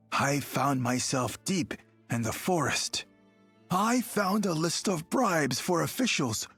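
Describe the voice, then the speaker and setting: A husky, slightly high male voice plays an earnest young man wrongly accused. He narrates his story in a measured, dramatic way for a mystery game, taking his time over faint music. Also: fairly bright tone, heavily acted.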